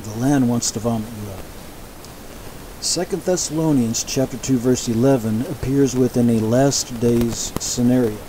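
Man teaching scripture over a steady hiss. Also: medium pitch, rough recording. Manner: measured, explanatory, with frequent restarts and asides. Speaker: Bible teacher. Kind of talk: sermon-style Bible lecture.

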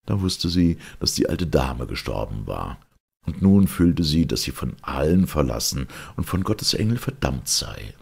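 Very deep gravelly male storyteller. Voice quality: gravelly, very husky. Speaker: audiobook narrator. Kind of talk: expressive fairy-tale narration.

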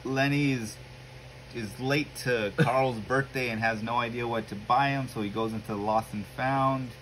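A man's voice: medium pitch, fairly even intonation, normal speed, fairly bright tone, rough recording. A man co-hosting a comics show, casually talking through what he is looking at. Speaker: co-host of a comics review show. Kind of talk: casual chat, recapping a comic. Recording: noticeable background room noise.

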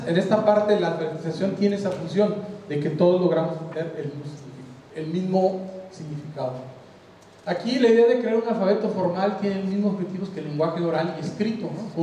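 A man's voice: sits high, fairly quick, mellow, slight background noise. An older man with a Mexican Spanish accent giving a conversational design lecture to an audience, often checking they follow. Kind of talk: conference lecture. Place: large hall with seated audience. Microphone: handheld mic. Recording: roomy hall echo from far off.

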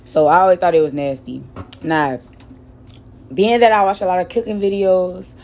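A woman's voice: lowish voice, fairly even intonation, moderate pace, darkish tone, slight background noise. Chatty young woman cooking at home. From Miami. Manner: chatty, slangy, repeats words, talks while cooking. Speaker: cooking vlogger. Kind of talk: casual cooking vlog chat. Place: home kitchen.

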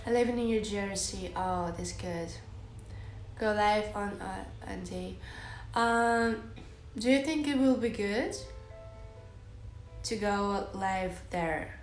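A young woman from Russia with a Russian accent, chatting slowly and softly on a phone livestream from her bedroom and answering viewers' comments with lots of "like" and "uh". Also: medium pitch, roomy sound, faint music behind, rough recording. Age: young adult. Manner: soft and giggly, many fillers like "like" and "uh". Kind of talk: casual livestream chat, reading out comments. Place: bedroom, indoors. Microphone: phone held close, lying on a bed.

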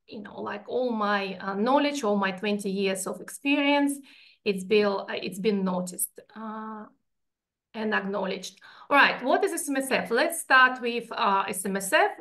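A woman from Russia with a strong Russian accent, presenting a financial webinar over a video call and explaining each slide step by step. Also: a little high. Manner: explanatory, steady, walking through slides.

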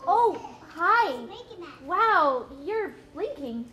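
A woman's voice: very high-pitched, very animated, extremely slow, pushed and bright, full character voice. A woman voicing a naive, curious young female sperm whale in a live puppet show, full of hesitant exclamations. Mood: wide-eyed wonder. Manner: wide-eyed, hesitant exclamations. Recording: live theatre recording, faint noise.

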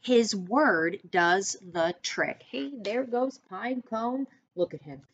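A middle-aged American woman reads a picture book aloud for first graders. Her pitch swings widely and her pace is slow, and she speaks straight to the children as she explains words. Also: dull audio, slight background noise. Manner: expressive, deliberate, instructing listeners directly. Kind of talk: picture book read-aloud for young children with teaching asides. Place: small room indoors, seated at a desk.

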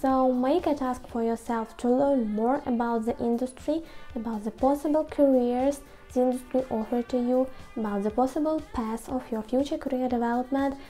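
High, bright-less young woman's voice, mellow. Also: moderate pace, faint music behind. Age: 20s. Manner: non-native English phrasing. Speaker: YouTube host. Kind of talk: scripted advice to camera. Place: small quiet room at home.

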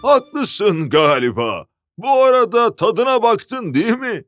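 An easygoing cartoon sea glutton, drawls very slowly in a high, swooping voice. The sound is dry, with faint music beneath. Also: male voice, very animated, a bit bright, no room sound, exaggerated voice. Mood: lazy, agreeable. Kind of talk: children's cartoon dubbing. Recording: music bed under the voice.

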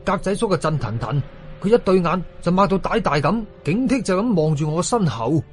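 A man's voice: sits high, brisk, soft background music. A man with a Hong Kong Cantonese accent telling a horror story in a colloquial, dramatic first-person narration. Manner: animated, colloquial.

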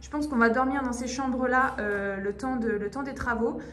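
A woman's voice: a little high, normal speed, fairly bright tone. A young woman, chatty and informal, giving a casual house tour as a vlogger filming on a handheld phone in empty rooms. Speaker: home renovation vlogger. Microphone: handheld phone or camera. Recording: echoey empty rooms, some background noise.

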